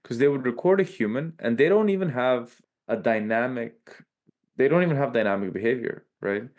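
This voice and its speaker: A man with a Serbian accent, a podcast guest talking in a loose, animated way and tagging lines with "right?". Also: medium pitch, normal speed. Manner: animated, peppers speech with "like" and "right?". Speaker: podcast guest, tech company chief. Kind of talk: casual interview talk.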